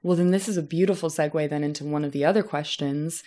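A woman hosting an interview, chatty and animated, with lots of casual fillers as she talks. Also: sits low, quick pace. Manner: chatty, animated, many fillers like "you know". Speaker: podcast host.

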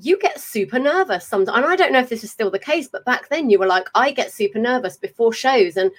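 A woman, hosting a casual chat over a video call, talkative and quick to laugh and swear. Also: fairly high pitch, animated. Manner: chatty, swears freely, laughs often. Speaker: podcast host. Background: faint fan hum.

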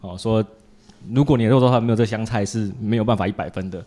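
A man's voice: lowish voice, quick pace, noisy background. A man with a Taiwanese Mandarin accent hosting a casual chat, joking and colloquial, with lots of little interjections. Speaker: podcast host interviewing a guest.

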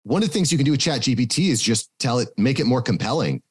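A man who runs a podcast agency, moderating a casual live chat and telling stories in a relaxed way. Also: mid-range voice, fast, breathy edge. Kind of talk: casual conversation.

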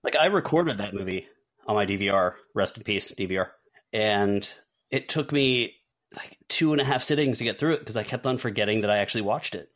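A man's voice: lowish voice, animated, a little fast, fairly bright tone. A man co-hosting a podcast, chatting casually and ranking things with rambling asides. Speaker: podcast co-host. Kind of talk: casual chat, film ranking.

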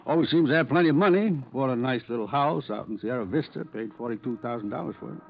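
Folksy small-town police sergeant, muffled sound. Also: male voice, mid-range voice, expressive, fast talker, creaky. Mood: easygoing, folksy. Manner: folksy, casual. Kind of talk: 1950s radio drama acting. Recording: dull vintage radio recording.

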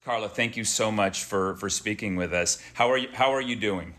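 A man's voice: on the low side, moderate pace, a bit bright, soft background music. A man from Oakland co-hosting a university podcast, asking questions in a friendly way with frequent restarts. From Oakland, California. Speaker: podcast co-host. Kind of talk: podcast interview.

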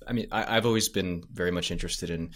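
A man's voice: deep voice, fast talker, a bit bright. A man talking casually as a podcast guest, hesitant and thinking aloud as he answers. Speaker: podcast creator, interview guest. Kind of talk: casual interview conversation.

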